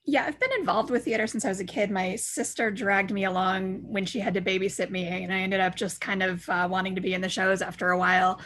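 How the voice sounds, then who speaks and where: A woman's voice: mid-range voice, fairly even intonation, a little fast, few pauses, a bit bright. A woman chatting casually as an interview guest, telling anecdotes in a talkative way. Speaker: theater actor and director, guest.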